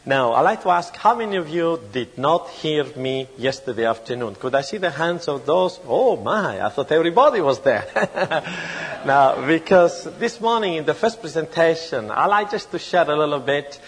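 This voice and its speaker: Italian-accented male speaker, middle-aged, slightly higher voice, earnest and measured, long hall reverb. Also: lively intonation, slightly rough. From Italy. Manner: earnest, measured, pauses to search for words. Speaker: conference speaker. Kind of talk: prayer and lecture-style testimony.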